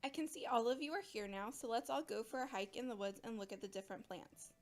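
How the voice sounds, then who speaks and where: A woman playing a cheery botany teacher in an audio drama, addressing a class with brisk, mock-stern teasing. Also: a little high, faint music behind, close to an everyday voice.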